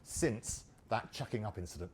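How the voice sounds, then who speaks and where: A man's voice: fairly low pitch, expressive, moderate pace, slightly rough. A man in his fifties, co-hosting a casual chat and telling stories against himself. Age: 50s. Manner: self-deprecating storyteller. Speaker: co-host.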